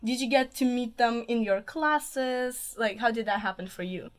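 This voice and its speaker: Young female podcast host from russia, bright high voice, playful teasing. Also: normal speed. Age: young adult. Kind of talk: casual interview chat.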